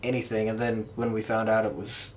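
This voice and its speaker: A man's voice: on the low side, level tone, a little fast, slight background noise. A young man chatting casually as a podcast host, rambling through memories like an eager fan. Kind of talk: casual chat, recounting memories.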